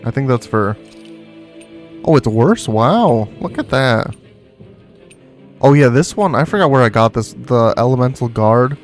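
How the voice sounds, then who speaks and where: A man's voice: medium pitch, expressive, unhurried, long pauses. Casual man commentating over game music. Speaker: streamer playing a video game.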